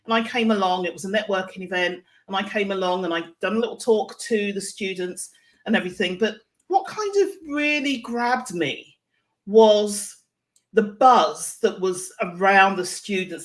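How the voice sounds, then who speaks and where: A woman hosting a live online interview show, speaking in a chatty, effusive and encouraging way. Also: mid-range voice. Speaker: show host.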